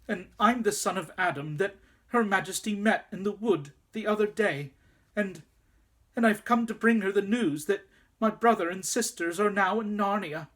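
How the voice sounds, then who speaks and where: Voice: medium pitch, fairly bright tone, voice pushed up. A storyteller voicing a frightened young boy who stammers and pleads.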